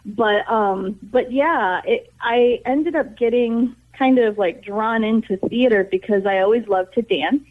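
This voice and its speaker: A woman's voice: on the high side, measured pace, hardly any pauses. American woman on a tinny, phone-like line. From Toledo, Ohio, United States. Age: middle-aged. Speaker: interview guest, theater educator and director. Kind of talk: conversational radio interview, personal storytelling.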